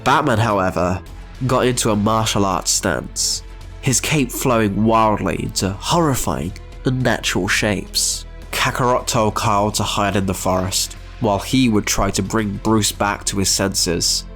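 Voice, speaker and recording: A man's voice: lowish voice, measured pace, fairly bright tone. A man narrating a scripted, dramatic story as its narrator. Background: music.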